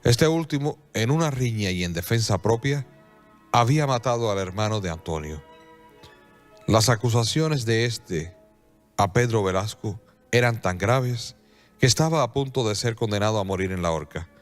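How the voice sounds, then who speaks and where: Low-voiced man narrating a radio drama. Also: animated, soft background music. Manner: solemn storytelling, measured dramatic pauses.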